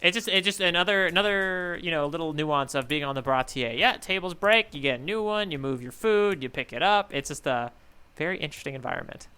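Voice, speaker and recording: A man's voice: a little high, fairly quick, sharp tone, clear, resonant tone. A man co-hosting a casual comedic podcast, thinking aloud conversationally about manga. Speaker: podcast co-host.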